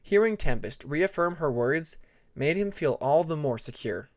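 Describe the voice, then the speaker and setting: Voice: mid-range voice, expressive, normal speed, no room sound, clean studio sound. A narrator reading a fantasy story aloud as a scripted audiobook.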